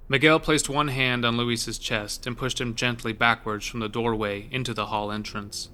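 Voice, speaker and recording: A man's voice: medium pitch, fairly even intonation, moderate pace, pushed and bright, a little hiss or hum. A man narrating a scripted horror story as the narrator of an audio drama.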